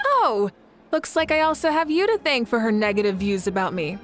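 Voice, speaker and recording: A woman acts as a confident fire-wielding ruler in a video game. The voice sits a little high, with a self-assured, playful and encouraging delivery. Soft music runs underneath. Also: lively intonation, fairly quick, lightly acted.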